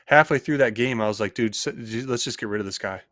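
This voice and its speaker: A man's voice: lowish voice, fast talker, a bit bright, no room sound, clean studio sound. A man co-hosting a casual sports talk show, rambling with many restarts and hedges. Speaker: co-host.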